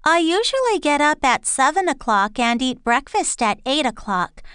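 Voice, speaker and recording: A woman reading scripted dialogue and answer options for an English listening test, slow and clearly enunciated. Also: sits high, very animated, fairly bright tone, no echo, studio quality.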